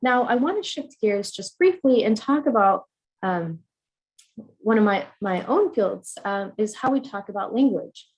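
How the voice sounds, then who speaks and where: A woman from the United States giving an academic conference talk, measured and earnest, with frequent ums and uhs. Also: medium pitch, expressive, husky, poor audio. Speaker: conference speaker.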